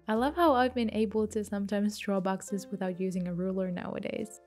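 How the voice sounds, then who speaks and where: A woman giving a calm, gentle voice-over for her journal painting video, a little sick from a mild flu. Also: mid-range voice. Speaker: bullet journal creator. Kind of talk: voice-over narration of a craft video.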